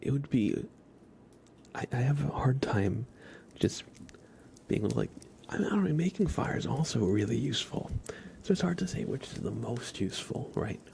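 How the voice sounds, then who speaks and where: Male ASMR streamer, casual and hushed, dips into whispers, lots of vocal fry, slight background noise. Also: medium pitch, big pitch swings, moderate pace, pauses often. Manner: soft-spoken, often dropping into a whisper. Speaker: livestream host. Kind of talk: ASMR, casual rambling chat with live viewers.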